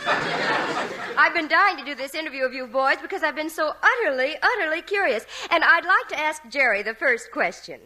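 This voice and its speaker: Prim magazine interviewer, refined, emphatic, high voice, swooping intonation, 1940s radio comedy. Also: female voice, lively intonation, normal speed, pushed and bright, slight background noise. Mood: gushing curiosity. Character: prim, curious society magazine interviewer, woman. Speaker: actress.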